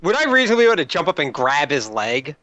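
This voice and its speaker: A man's voice: a little high, big pitch swings, fast, bright, forceful tone, no room sound. A man chatting casually as a player in a tabletop game, recorded on a home microphone. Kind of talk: casual gaming chat. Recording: dull, faintly noisy home mic.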